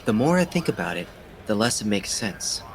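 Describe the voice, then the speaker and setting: A man's voice: on the low side, big pitch swings, normal speed, a little hiss or hum. A man acting as an earnest, methodical police detective in an audio drama. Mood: intent, reasoning.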